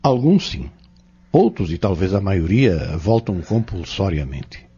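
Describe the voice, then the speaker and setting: A man with a Brazilian accent playing a calm, kindly pharmacist who teaches young men in a measured, solemn way in an audio drama. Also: low voice, husky, muffled recording, exaggerated voice. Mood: solemn, teaching.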